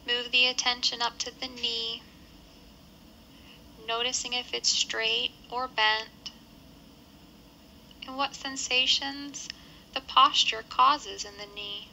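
Bright-voiced woman guiding a meditation. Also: on the high side, fairly even intonation, gravelly, slight background noise, poor audio. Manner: measured, instructional, gentle phrasing with pauses. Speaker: meditation guide. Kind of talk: guided body scan meditation.

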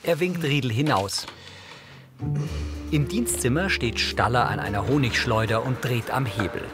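A man reading scripted scene narration for an audio description, in a neutral, measured way. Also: medium pitch, loud music behind the voice.

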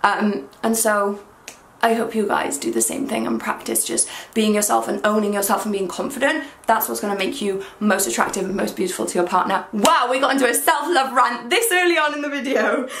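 Woman in her twenties, croaky voice, gushing and excitable, fairly high-pitched, echoey bedroom. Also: fairly quick, slight background noise. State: croaky, hungover voice. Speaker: fashion vlogger. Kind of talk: casual try-on haul chat. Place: small bedroom, indoors. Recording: echoey room.

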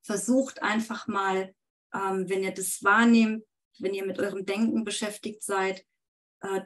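Woman gently guiding a group on a call. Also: mid-range voice. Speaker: group member opening the session. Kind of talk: inviting the group to listen. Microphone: video call.

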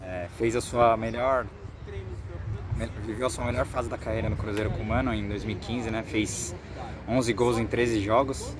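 A Brazilian man in his twenties gives an informal football vlog report to camera, in a halting way with repeated words, speaking into a phone at arm's length outdoors. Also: fairly low pitch, steady tone, crowd noise. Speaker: sports reporter for a football fan channel. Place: outdoors on a football training pitch. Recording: outdoor noise with distant vehicles.

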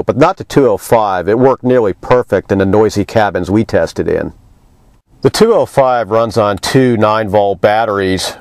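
A man's voice: on the low side, a little mellow, no room sound. A man, reading a scripted product review as a magazine reviewer.

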